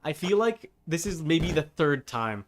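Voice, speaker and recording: A man's voice: a little high, lively intonation, a little slow, a little hiss or hum. A man casually chatting on a gaming live stream, rambling along in a wry, joking way. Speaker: game streamer.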